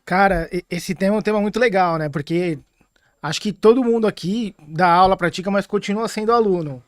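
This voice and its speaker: Thoughtful high-voiced man, dry sound. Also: a little fast, dry recording. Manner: thoughtful, frequent restarts. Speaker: podcast guest, acupuncturist. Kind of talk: casual podcast chat.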